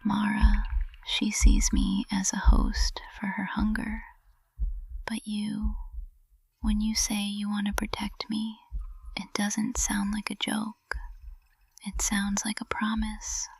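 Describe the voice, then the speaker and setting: A woman acts out a witch professor in an intimate ASMR roleplay, whispering slowly and close to the mic. Her breathy hush has a dry closeness, and she sounds tender, fragile and possessive beneath a formal composure. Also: medium pitch, monotone, no echo, full character voice. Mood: vulnerable, devoted. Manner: slow, hushed, intimate delivery. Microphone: close microphone.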